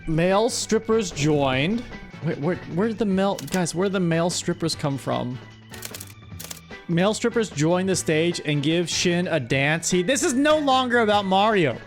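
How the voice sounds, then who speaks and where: A high-voiced man hosts a stream in a casual, comedic way. He sounds exasperated and playfully scolds his viewers as he reads their words aloud, with faint music underneath. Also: animated, moderate pace. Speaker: streamer host.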